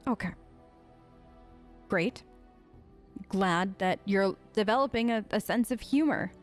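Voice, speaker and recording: A woman's voice: mid-range voice, takes it slowly, soft background music. A woman doing casual, chatty role-play commentary as she plays a video game in character. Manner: conversational, playful, chatty. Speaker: gamer playing in character.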